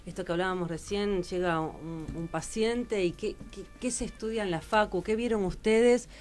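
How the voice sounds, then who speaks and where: A woman's voice: medium pitch, moderate pace, a little mellow, soft background music. A woman with a Rioplatense Argentine accent, hosting a radio column on a casual footing and putting questions to guests. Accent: Rioplatense Argentine Spanish. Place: radio studio table.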